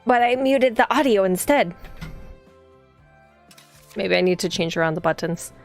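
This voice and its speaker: A woman livestreaming a visual novel, reading the game text aloud and chatting casually as she thinks out loud and reacts to the story. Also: mid-range voice, animated, normal speed. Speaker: streamer playing a visual novel. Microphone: streaming microphone. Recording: background music behind the voice. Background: game music.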